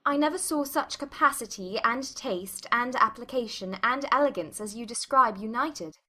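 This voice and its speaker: Spirited young gentlewoman female actor, quick-witted and pointed, bright, fairly high voice, period audiobook. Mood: pointed, teasing. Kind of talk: dramatized audiobook acting.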